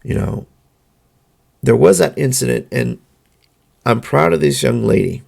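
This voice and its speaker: A man hosting a solo podcast, talking in an earnest, measured way with halting fillers, his voice going hoarse. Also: medium pitch, lively intonation, darkish tone. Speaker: podcast host. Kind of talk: solo opinion monologue.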